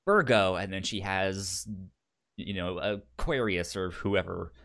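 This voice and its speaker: A man co-hosting a podcast in casual chat, speaking haltingly with many stammered restarts. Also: sits low, vocal fry, fairly bright tone. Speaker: podcast co-host.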